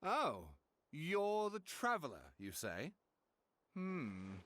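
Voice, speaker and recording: Earnest young male scholar, game character, highish voice, wildly varied intonation, hesitant, flustered, polite, faint noise. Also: huge pitch swings, drawn-out words, a bit bright, exaggerated voice. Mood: curious, pondering. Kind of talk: video game voice acting.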